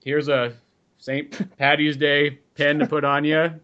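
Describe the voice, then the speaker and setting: A man's voice: a little high, level tone, measured pace, highs cut off. A man co-hosting a comic book podcast, chatting casually and jokily in a rambling way. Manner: jokey, rambling, breaks into quoted voices. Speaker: podcast co-host. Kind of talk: casual chat.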